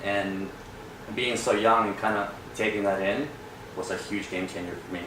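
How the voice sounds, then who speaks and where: A man's voice: on the low side, steady tone, normal speed. A young man from Peru, a real estate agent guest, telling his story in an earnest, reflective way. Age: young adult. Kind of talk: casual interview. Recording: echoey room, far from mic, noisy.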